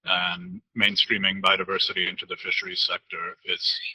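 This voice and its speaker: A man on a seminar panel giving brief, low-key information. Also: completely flat delivery, normal speed, bright, forceful tone, slightly rough, rough recording. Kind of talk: panel discussion.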